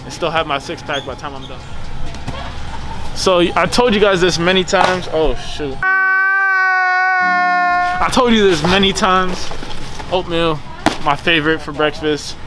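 A man's voice: a little high, normal speed, long pauses, heavy background noise. Upbeat young man vlogging while shopping. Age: 20s. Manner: upbeat, chatty, talks to viewers directly. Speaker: fitness vlogger. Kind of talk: casual vlog while shopping. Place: grocery store.

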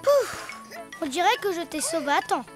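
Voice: very high voice, big pitch swings, normal speed. An actor voicing an eager, playful, imaginative little boy donkey in a children's cartoon. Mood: playful, excited. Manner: playful, exclaiming. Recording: music behind the voice.

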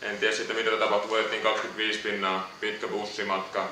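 Bright-voiced man, postgame answers. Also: lowish voice, flat delivery, slight background noise. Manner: hesitant, repeats words. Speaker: basketball player. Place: echoey press room. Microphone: distant room mic. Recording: echoey room audio from far off.

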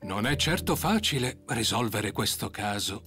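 A man's voice: lowish voice, very animated, unhurried, a bit bright, soft background music. A man narrating a children's cartoon as a genial storyteller. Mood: storytelling.

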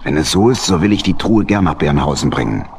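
An actor playing a good-hearted, cautious old badger uncle and boat captain in a children's audio play, earnest and deliberate. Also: male voice, a bass voice, animated, a little hiss or hum. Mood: willing, curious. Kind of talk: German children's radio play acting.

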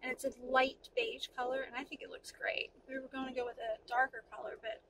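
A woman's voice: sits high, level tone, fairly quick, recorded from a distance, background noise. A woman in her forties, chatty and upbeat, talking about her boat project into a phone at arm's length. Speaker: sailing vlog host. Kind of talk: casual chat. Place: outdoors at a boatyard, then inside a car. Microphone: phone camera held at arm's length.

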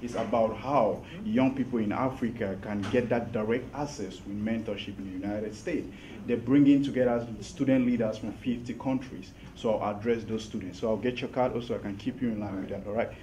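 A man's voice: on the low side, fairly quick, hardly any pauses, dark tone, far from the mic, slight background noise, rough recording. A man hosting a networking event in a hotel meeting room, speaking assured and direct. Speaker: event host.

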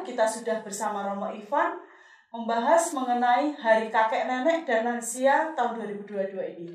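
A middle-aged woman hosting a podcast interview in a lively, chatty way on a studio set. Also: on the high side. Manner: lively and chatty, quick to laugh. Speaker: podcast host. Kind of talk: casual interview chat. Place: studio set with a wooden wall. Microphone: desk mic on a table, some distance away. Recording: echoey room, mic at a distance.

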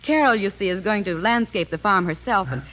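A woman's voice: medium pitch, fairly quick, a bit bright. A young woman answering as a guest in a scripted 1930s radio interview. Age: young adult. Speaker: interview guest, personal business manager. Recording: dull old 1930s radio recording.

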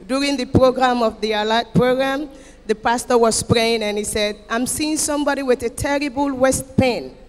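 High-voiced emphatic woman testifying in church. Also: steady tone, normal speed. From Equatorial Guinea. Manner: emphatic, declamatory, repeating phrases. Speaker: church member giving testimony. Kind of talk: emotional testimony to a congregation. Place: church auditorium. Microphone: handheld microphone. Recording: echoey hall PA with music behind.

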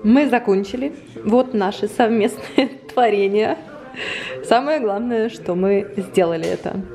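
A woman's voice: mid-range voice, unhurried, over music. A woman in her thirties chatting casually and playfully for a home vlog, as an affectionate mother. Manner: playful, giggly, affectionate with children. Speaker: vlogger and mother. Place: shop, then a large living room at home. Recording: noisy camera audio with clicks.

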